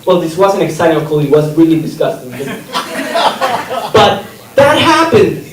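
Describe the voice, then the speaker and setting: A man's voice: a little high, animated, unhurried, crowd noise. A man from Chile, performing a stand-up comedy set in a rambling, self-interrupting way. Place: comedy theater stage. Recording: echoey room from a distance, audience laughter.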